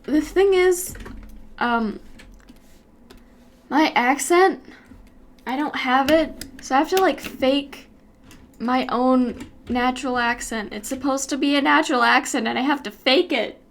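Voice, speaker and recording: A woman's voice: high-pitched, measured pace, long pauses. A young American woman chatting casually and rambling while she works on an animation, with lots of giggles. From United States. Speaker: YouTuber animating while streaming. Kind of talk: casual chat while working.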